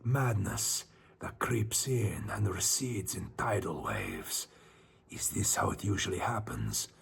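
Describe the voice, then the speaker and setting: A man voicing an anxious, brooding Spanish narrator of a horror story, theatrical and often dropping to hushed tones, with a Spanish accent. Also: low-pitched, big pitch swings, takes it slowly, creaky, slightly rough, voice pushed down, full character voice. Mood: brooding, uneasy. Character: anxious, self-questioning Spanish man narrating his descent into madness.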